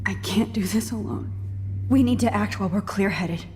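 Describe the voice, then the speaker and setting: Woman acting hushed, determined young captive heroine. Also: medium pitch, moderate pace, long reverb tail, a little hiss or hum, close to an everyday voice. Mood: determined, reassuring. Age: young adult. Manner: hushed, breathy, urgent and reassuring. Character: determined, caring young female photography student held captive. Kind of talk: video game cutscene acting.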